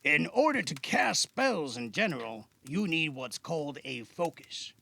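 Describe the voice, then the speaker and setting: Weathered fantasy veteran , male actor: gravelly, bright-edged voice, modest and measured, amateur audio drama. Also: on the high side, sing-song, a little hiss or hum, full character voice. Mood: explaining. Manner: measured explaining with thoughtful hums. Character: Alan, weathered veteran male caster, patient, modest, wryly guarded.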